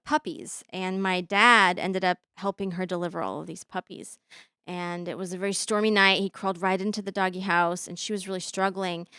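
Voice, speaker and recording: A woman in her thirties, born in Bosnia with Bosnian as her first language, telling her story as an interview guest with many pauses and fillers. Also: lowish voice, fairly bright tone, clean tone, no echo, studio quality. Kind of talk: conversational interview.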